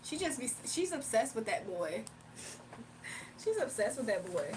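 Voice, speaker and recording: A woman's voice: on the high side, big pitch swings, takes it slowly, noisy background. A young woman streaming live, chatting casually with her viewers, laughing often and reading out chat messages.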